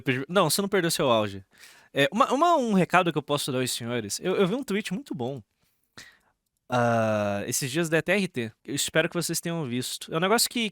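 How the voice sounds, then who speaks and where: A man's voice: mid-range voice, fairly bright tone, no room sound, clean studio sound. A Brazilian man doing casual live reaction commentary as a streamer, slangy and sarcastic, often repeating his phrases.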